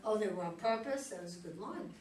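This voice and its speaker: A woman's voice: medium pitch, expressive, quick pace. A woman leading an informal group critique, recorded in a room with other people around. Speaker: discussion leader at a play reading. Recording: roomy, distant mic, group chatter behind.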